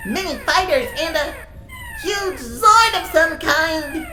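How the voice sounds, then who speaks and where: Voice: very high-pitched, sing-song, slow pace, heavily acted. An actor playing a small, fussy, anxious robot assistant in an audio drama, exclaiming fretfully. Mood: alarmed. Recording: echoey, noisy recording.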